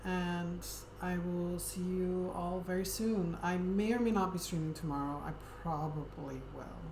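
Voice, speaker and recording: A woman hosting a livestream, talking casually to her viewers in a soft, friendly way and often pausing on an um. Her voice sits low. It echoes around the room over a steady background noise. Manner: soft, friendly, hesitant with many ums. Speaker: Twitch streamer.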